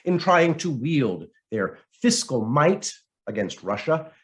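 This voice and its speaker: A man reading a scripted commentary aloud in a dramatic, emphatic way with deliberate phrasing. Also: fairly high pitch, very animated. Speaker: reader of written commentary.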